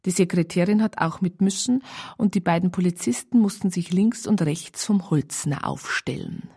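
A woman narrating a radio crime story. Her voice is slightly low and creaky, and she tells the case in a matter-of-fact, scripted way. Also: moderate pace, warm tone.

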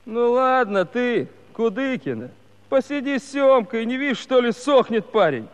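An actor playing a cocky, teasing young Cossack village lad who talks with mocking, pompous airs in a theatrical comedy style. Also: male voice, very high voice, big pitch swings, moderate pace. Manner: mocking, pompous mock-elegant talk. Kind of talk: theatrical musical comedy acting. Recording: dull old recording, long reverb.

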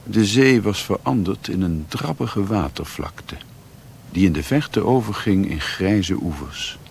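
An actor as the reflective narrator of a sea tale, reading literary prose in a radio play. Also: male voice, deep voice, animated, unhurried, vocal fry, exaggerated voice. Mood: measured narration. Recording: faintly noisy old radio recording.

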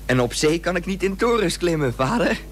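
A man's voice: high-pitched, animated, brisk. A man playing a headstrong, earnest boy who pleads to go to sea, in a Dutch radio play. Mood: eager, earnest. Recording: old radio play with faint hum.

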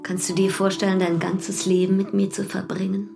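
A woman's voice: mid-range voice, level tone, normal speed, vocal fry, over music, natural voice. An actress playing a passionate married woman in a secret affair, murmuring intimately and insistently in an audio drama. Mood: intimate, probing.

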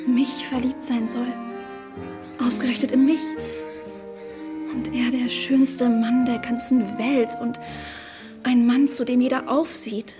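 A young woman acting a naive, adoring gentlewoman in a period radio play, with a breathless, gossipy, effusive manner. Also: on the high side, close to an everyday voice. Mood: adoring awe.

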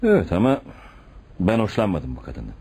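Police detective, low pitch, lively delivery, blunt, insistent, suspicious subordinate, radio theatre. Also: male voice, normal speed, breathy edge, exaggerated voice. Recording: faintly noisy radio drama recording.

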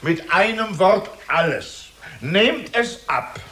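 A man's voice: sits high, animated, slow pace, bright, forceful tone, full character voice. A man playing a grave, commanding Tsar in a children's radio drama, speaking in a stately, imperious way. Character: grave, commanding Tsar, father of grown daughter.

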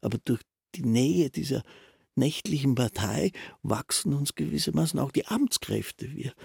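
A man in a German radio drama playing a talkative castle secretary with a husky, creaky voice, pedantic and insistently rhetorical. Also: mid-range voice, lively intonation, moderate pace, darkish tone, dry recording, studio quality, heavily acted. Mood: rapturous. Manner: pedantic, long-winded, insistently rhetorical, chuckling. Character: talkative, rapturous male castle secretary musing at night.

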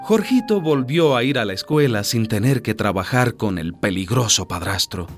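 A man's voice: lowish voice, expressive, normal speed, soft background music. A man narrating a radio drama as a scripted story, with a grave, dramatic delivery.

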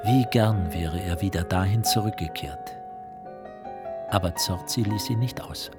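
A man narrating a literary radio play from a script in a measured, serious manner. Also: sits low, music in the background.

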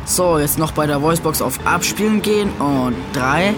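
Woman doing a cocky teasing young gamer hosting a kids audio show, lowish voice, music behind. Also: animated, moderate pace. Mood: teasing, confident. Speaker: show host. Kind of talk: scripted kids' audio show banter.

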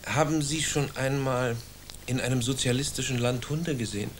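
A sly, scheming investigator, played by a man in a radio play. He talks smoothly and ironically at a middle pitch, leading his listener on, over a faint hiss. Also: moderate pace, natural voice. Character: sly, scheming male special-commission investigator.

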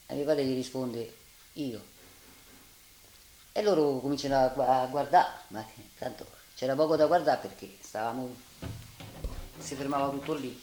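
A woman's voice: a bass voice, moderate pace, pauses often, dark tone. An older woman recounts what she witnessed in a Roman accent. The recording is roomy, with light noise behind her. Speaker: witness recalling wartime. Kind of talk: spoken testimony. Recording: roomy, noisy archive interview.

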